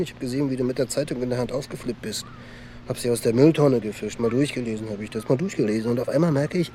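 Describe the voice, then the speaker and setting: A man's voice: mid-range voice, mellow, slight background noise, natural voice. An actor playing a twitchy, wheedling young small-time crook in a crime radio play, rambling in slang and repeating himself. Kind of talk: naturalistic radio crime drama acting.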